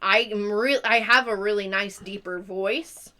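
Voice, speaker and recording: A woman's voice: mid-range voice, fairly even intonation, a little slow, bright, forceful tone. A young adult woman chatting casually as a live streamer, explaining things in a rambling way. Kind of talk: casual chat.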